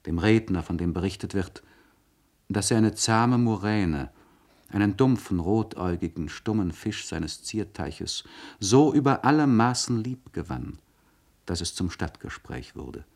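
Anguished courtly poet, husky low-pitched voice, solemn declamation, weighty pauses, 1960s German radio drama. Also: male voice, expressive, a little mellow. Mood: brooding, solemn. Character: anguished, courtly young poet writing a confessional letter. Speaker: actor reading a literary letter.

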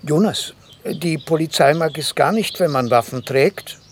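An actor playing an older, flustered, apologetic grandfather in a radio drama, speaking haltingly. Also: on the high side, a little hiss or hum, lightly acted. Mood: worried, flustered. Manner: hesitant, halting.

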